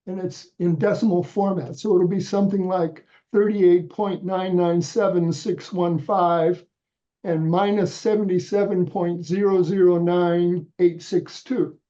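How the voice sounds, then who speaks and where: A man's voice: sits high, fairly even intonation, unhurried, dark tone, muffled recording. Stuffy-voiced man with a head cold. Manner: patient, methodical, frequent restarts. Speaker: volunteer trainer. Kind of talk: step-by-step instructional walkthrough.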